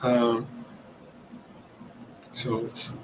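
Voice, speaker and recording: A man from Italy whose first language is Italian, hosting a livestream Q&A and reasoning aloud, often pausing and searching for words. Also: medium pitch, monotone, noisy background. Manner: hesitant, searching for words, many ums and restarts. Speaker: podcast host answering questions.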